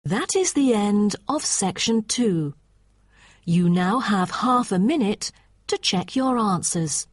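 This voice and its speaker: A woman announcer reading scripted exam instructions in a measured, formal manner. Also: medium pitch, animated, dry recording, clean studio sound. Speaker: test announcer.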